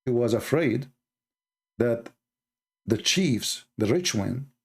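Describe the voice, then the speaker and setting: A man's voice: medium pitch, expressive, slow, vocal fry, slightly rough. A man with an Arabic accent and Arabic as his first language, giving combative commentary on a religious text and mocking and challenging his opponents. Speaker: religious critic.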